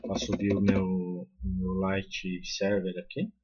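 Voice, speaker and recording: A man's voice: low-pitched, monotone, slow pace, darkish tone, husky, muffled recording. A man with a Brazilian accent explaining a coding tutorial step by step, with frequent fillers and restarts. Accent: Brazilian Portuguese. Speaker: programming tutor.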